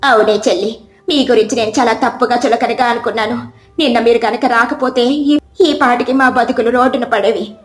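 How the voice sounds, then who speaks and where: A woman narrator puts on a rich village wife in a storybook tale. The voice is high, plaintive and full of distress. The recording has a roomy echo. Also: fairly even intonation, fairly quick, faint music behind, close to an everyday voice. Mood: remorseful. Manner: plaintive, emotional. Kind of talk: dramatic storybook character reading.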